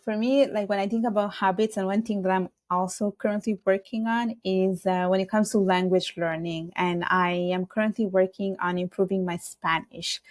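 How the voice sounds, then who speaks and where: A woman's voice: medium pitch, a little mellow, dry recording. A woman from Italy whose first language is Bengali, a podcast guest talking in an earnest, reflective way and giving advice. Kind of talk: casual interview chat.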